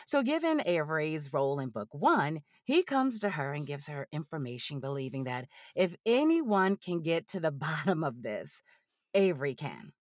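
A woman speaks as an interview guest in a conversational, storytelling style. Her voice is low for a woman but swings widely in pitch, giving it an animated feel. The recording is dry and close. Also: normal speed, dry recording. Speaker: audiobook narrator, interview guest.

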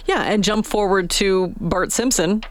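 A woman's voice: medium pitch, measured pace, slight background noise. A woman chatting casually as host of a live radio interview, close on a studio microphone. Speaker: radio morning show host.

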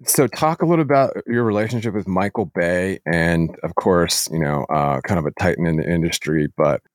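Low-voiced man interviewing, dry sound. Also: dry recording. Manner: halting, meandering questions. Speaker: podcast host, interviewer. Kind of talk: casual interview.

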